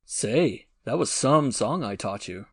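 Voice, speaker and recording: A man's voice: mid-range voice, expressive, slow, husky, dry recording, studio quality, exaggerated voice. A man playing a plucky young adventurer in a dramatised audiobook, talking in a wry, slangy way. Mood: wry amusement.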